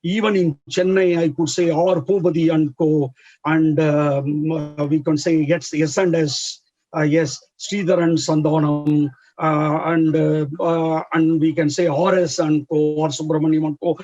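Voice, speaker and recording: A man with an Indian English accent explaining as a chartered accountancy educator, halting with many fillers in an earnest advisory way. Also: on the high side, level tone, darkish tone, dull audio. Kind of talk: explanatory interview answer.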